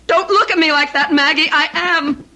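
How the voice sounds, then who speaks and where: An actress playing an emotional, melodramatic newlywed wife in an old-time radio mystery. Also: female voice, high-pitched, moderate pace, pushed and bright. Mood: desperate, insistent. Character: frantic newlywed wife insisting her husband was murdered. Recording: old radio drama with music behind.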